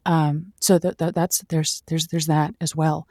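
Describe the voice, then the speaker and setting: A woman's voice: deep voice, level tone, measured pace, mellow, no echo, clean studio sound. A woman playing a waffling, people-pleasing radio advice host who keeps hesitating and restarting her sentences. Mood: flustered. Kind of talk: improvised audio drama.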